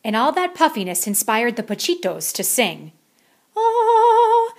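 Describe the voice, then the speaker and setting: A woman reads a bedtime story aloud as narrator. Her voice is fairly high and very lively, rising and falling expressively through the tale. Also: moderate pace, husky.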